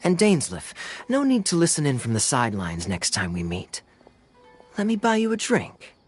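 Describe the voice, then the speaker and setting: An actor playing a suave young swordsman in a video game, smooth-talking, playful and sardonic, with secrets to keep. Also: medium pitch, wildly swooping pitch, moderate pace, faint music behind. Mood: breezy, teasing.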